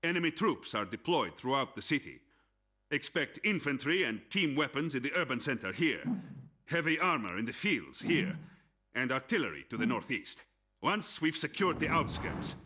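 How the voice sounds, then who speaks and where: A stern Soviet army officer in a war game. His voice is husky with a hard, forceful edge, set a little high. He lays out orders in a deliberate, commanding way. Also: lively intonation. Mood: stern, resolute. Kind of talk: scripted military mission briefing.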